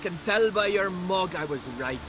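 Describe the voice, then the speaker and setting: An actor playing an eccentric, eager bard in a fantasy game, with folksy, old-fashioned phrasing. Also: male voice, high-pitched, animated, moderate pace. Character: eccentric, eager male bard, obsessed with legends. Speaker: supporting character in a fantasy video game. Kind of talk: game cutscene dialogue. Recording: music behind the voice.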